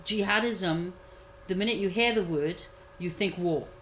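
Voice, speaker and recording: A woman commentator giving a measured analysis, repeating key points for emphasis. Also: on the low side, fairly bright tone, slight background noise, low-quality recording. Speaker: guest commentator. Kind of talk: analytical discussion.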